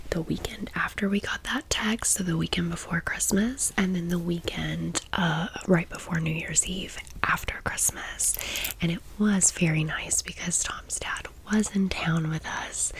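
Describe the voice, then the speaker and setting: A woman's voice: lowish voice, vocal fry, rough recording. A woman in her thirties whispering a chatty, informal ASMR storytime as the host of her channel. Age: 30s. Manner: chatty, informal, gushing asides.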